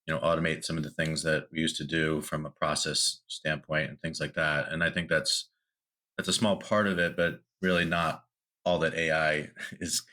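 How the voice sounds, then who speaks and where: A man's voice: rumbling low, little pitch movement, normal speed, sharp tone. A man hosting a podcast interview, talking in a loose, rambling way with many fillers and restarts. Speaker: podcast host.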